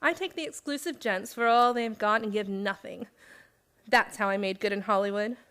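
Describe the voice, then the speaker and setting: A woman's voice: mid-range voice, normal speed, fairly bright tone, smooth, lightly acted. A woman playing a cynical young female con artist, narrating her confession in wry, old-time radio drama style. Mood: hard, smug.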